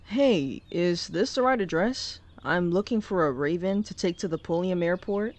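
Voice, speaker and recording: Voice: medium pitch, expressive, moderate pace, natural voice. An actor playing a casual, considerate airport cab driver in an audio roleplay.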